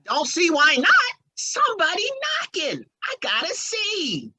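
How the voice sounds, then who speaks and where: High, wildly swooping simple young husband voiced by a woman. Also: no echo, exaggerated voice. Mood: stubborn, uneasy. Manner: naive, folksy, excitable, halting repeats. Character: simple, stubborn young husband devoted to his mama. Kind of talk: old-time radio horror acting.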